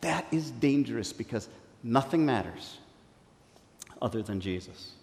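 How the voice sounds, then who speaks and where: A man preaching a sermon, explaining scripture with emphatic stresses that fall to quiet, intense phrases. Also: mid-range voice, animated, unhurried, creaky. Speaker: pastor. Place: church hall. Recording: long reverb of a church hall.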